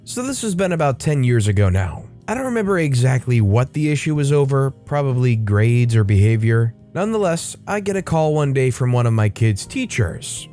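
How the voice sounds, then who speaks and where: A man narrating online stories in an expressive, scripted storytelling style. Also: medium pitch, normal speed, few pauses. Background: faint guitar music.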